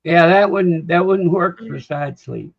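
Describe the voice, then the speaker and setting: A man's voice: high-pitched, a little slow, creaky, no room sound. A man co-hosting a casual livestream chat, laid-back with brief replies, heard over a dull lo-fi remote line.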